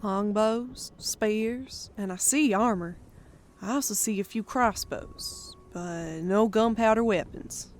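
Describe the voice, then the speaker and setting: Woman playing a slow, cool female sniper. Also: medium pitch, soft background music, close to an everyday voice. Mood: watchful, calm. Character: cool, wry female sniper in military fantasy.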